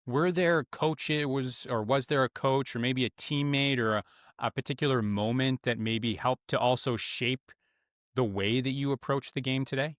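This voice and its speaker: A man's voice: medium pitch, a bit bright, no echo, studio quality. A man hosting a sports podcast, asking long, rambling questions with fillers. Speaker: podcast host. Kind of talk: interview questions.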